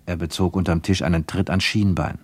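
A man narrating a literary radio play with expressive, deliberate phrasing. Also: low-pitched, no room sound.

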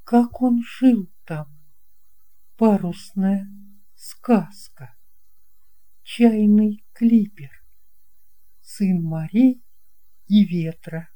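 A woman reading a children's story expressively, with deliberate phrasing and dramatic pauses. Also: medium pitch, mellow. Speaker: audiobook reader.

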